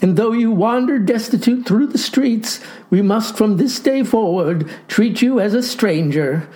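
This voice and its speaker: A woman's voice: mid-range voice, lively intonation, a little slow, warm tone, voice pushed up, close to an everyday voice. A woman voicing a stern middle-aged mother who speaks in grave, florid declamations for a comic story. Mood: grave, disowning.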